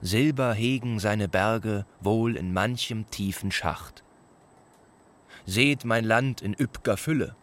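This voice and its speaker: A man declaiming old ballad verse in a radio play, stately and deliberate. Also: lowish voice.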